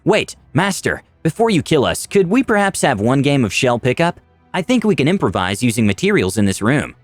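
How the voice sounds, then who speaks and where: High-pitched pleading adventurer, acted by a man. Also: very animated, fairly quick, no room sound, faint music behind, natural voice. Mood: polite pleading. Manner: polite, coaxing, pleading. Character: earnest, quick-thinking male adventurer pleading with a captor.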